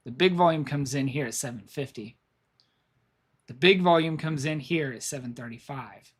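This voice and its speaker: Hoarse man rambling through market levels. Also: on the high side, moderate pace. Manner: rambling, repeats himself, thinks aloud. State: losing his voice. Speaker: stock market streamer. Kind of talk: live trading commentary.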